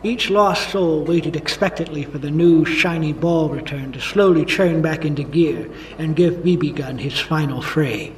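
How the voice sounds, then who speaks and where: A man's voice: a little high, moderate pace, few pauses, slight background noise, close to an everyday voice. Man playing a brooding noir narrator, slightly distant. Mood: ominous. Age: older. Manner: moody, world-weary. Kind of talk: noir radio drama narration.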